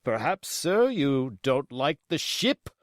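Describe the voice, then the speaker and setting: A pompous country squire with a short temper, put on by a male audiobook reader. His indignant speech is slow and swings widely in pitch. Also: very animated, no echo, studio quality. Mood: angry, affronted. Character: haughty, touchy country squire, quick to anger. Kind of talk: audiobook character acting.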